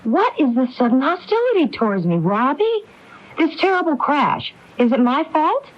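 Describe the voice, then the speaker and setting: A woman's voice: high-pitched, very animated, moderate pace. A woman playing an earnest, worried wife who questions insistently in a radio drama. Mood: exasperated. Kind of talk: 1980s radio drama acting. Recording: dull AM radio broadcast recording.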